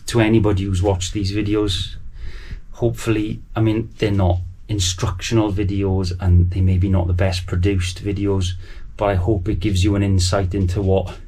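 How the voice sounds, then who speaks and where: A man's voice: fairly low pitch, darkish tone, slight background noise, poor audio. Enthusiastic man in his forties. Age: 40s. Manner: enthusiastic, rambling. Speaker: home studio vlogger. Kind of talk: casual chat to camera. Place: small sound-proofed garage studio.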